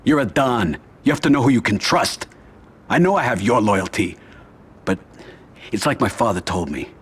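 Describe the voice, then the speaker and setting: A quiet, controlled mob boss in a crime game cutscene. His voice sits very low with a husky, breathy grain, and he never raises it. Every word is measured and deliberate. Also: lively intonation. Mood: cold. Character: calm, cold mafia boss man. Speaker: crime family head. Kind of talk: video game cutscene acting.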